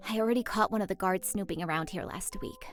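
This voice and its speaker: A woman's voice: medium pitch, fast, faint music behind, close to an everyday voice. An actress playing a moody, lonely teenage girl in a visual novel, wry and confiding. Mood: guarded. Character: moody, lonely, teasing teenage girl, secretly soft-hearted.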